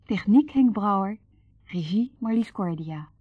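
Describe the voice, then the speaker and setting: A woman's voice: mid-range voice, lively intonation, measured pace, breathy edge, no echo. A woman reading scripted radio credits as a formal continuity announcer. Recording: muffled radio sound, faint music.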